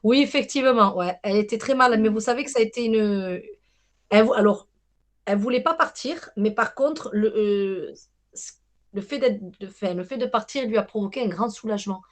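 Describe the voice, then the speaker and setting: A woman's voice: medium pitch, fairly quick. A woman giving a live medium reading to callers over a video call, hesitant and reassuring, often repeating herself.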